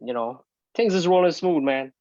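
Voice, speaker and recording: A man's voice: on the high side, expressive, normal speed, darkish tone, no echo. Man, young adult, from Guyana, easygoing guest, phone video call, dry dull sound. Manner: laid-back, easygoing. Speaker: guest singer-songwriter. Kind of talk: casual interview over video call.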